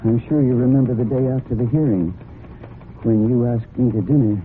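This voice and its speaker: A woman's voice: rumbling low, a little fast. An actress playing a strong-willed, loyal and defensive woman in a vintage radio drama, speaking with earnest, emotional insistence. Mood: guarded. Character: strong-willed adult daughter, loyal and defensive about her horse. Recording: muffled, noisy old radio broadcast recording.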